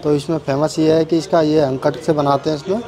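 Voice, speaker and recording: A man's voice: on the high side, flat delivery, a little fast, crowd noise. A man on hotel staff, polite and deferential, explaining drinks and dishes to guests. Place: hotel bar and buffet.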